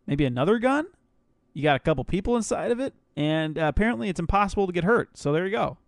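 A man's voice: sits high, animated, fast talker, dry recording. Jokey young male co-host, dry sound. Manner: jokey, goofy, laughs often. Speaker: podcast co-host. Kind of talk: casual podcast chat.

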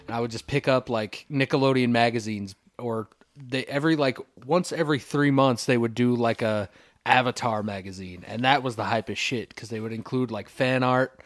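A man's voice: medium pitch, moderate pace, no room sound. A man co-hosting a podcast, chatting casually as a fan in an informal, slangy way. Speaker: podcast co-host.

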